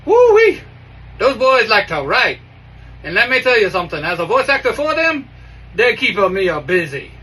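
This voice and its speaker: A man's voice: high-pitched, huge pitch swings, normal speed, sharp tone, breathy edge, heavily acted. A man voicing a boisterous, swaggering comic-book mutant who talks about himself in the third person. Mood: swaggering, upbeat. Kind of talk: in-character sign-off and plug. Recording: distant mic with background noise.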